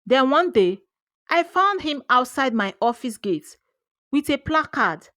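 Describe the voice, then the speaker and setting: A woman with a Nigerian accent telling a scripted first-person story in an expressive, theatrical way. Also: sits high, measured pace, no echo, clean studio sound. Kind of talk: dramatic first-person scripted folktale narration.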